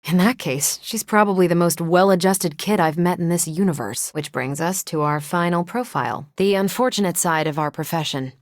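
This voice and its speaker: Woman voicing low-pitched, wry American superheroine. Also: moderate pace, few pauses, dry recording, natural voice. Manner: dry, wisecracking, self-assured. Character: confident, wry superheroine, ex-Air Force pilot, woman. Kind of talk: video game voice acting.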